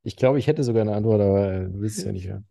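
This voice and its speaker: A man's voice: lowish voice, rapid-fire, creaky, mellow, dry recording, studio quality. A man co-hosting a casual podcast, rambling and full of fillers as he tells stories. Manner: rambling, filler-heavy storytelling. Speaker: podcast co-host. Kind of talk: casual chat.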